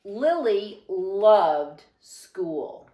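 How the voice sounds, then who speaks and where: Lady reading a kids book out loud, slow n expressive, really stresses words, echoey room. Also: medium pitch, distant mic, lo-fi sound, a little hiss or hum. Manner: deliberate, emphatic phrasing. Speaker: storybook reader. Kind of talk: expressive children's read-aloud narration. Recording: roomy, echoing sound.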